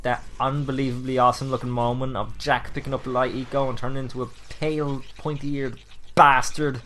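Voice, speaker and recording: A man's voice: mid-range voice, flat delivery, a little fast, pushed and bright, a little hiss or hum. A man giving scripted but informal, enthusiastic commentary as the presenter of a top ten video.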